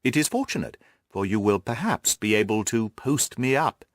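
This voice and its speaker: A man voicing a shrewd, self-assured male detective in an audiobook, speaking in a precise, formal, animated manner. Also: medium pitch, moderate pace, no echo, studio quality, lightly acted. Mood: brisk, assured. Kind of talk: classic detective audiobook dialogue.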